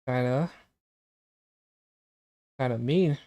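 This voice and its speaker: A man's voice: mid-range voice, extremely slow, dark tone, muffled recording. A young man streaming a game, chatting casually and teasing his chat with jokes. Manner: joking, teasing, reacting to chat.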